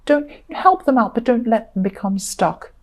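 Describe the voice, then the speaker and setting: A woman with a croaky voice giving a chatty, reassuring tarot reading, full of fillers and restarts. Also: a little high, animated, normal speed. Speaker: tarot reader. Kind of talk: solo tarot reading monologue.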